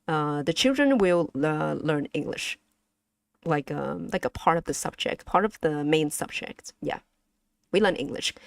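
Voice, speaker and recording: A young woman from Vietnam with a Vietnamese accent, teaching pronunciation to her stream chat in a halting, careful way with many fillers. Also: sits low, no echo, clean studio sound. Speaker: vtuber streamer teaching language. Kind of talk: casual language lesson to chat.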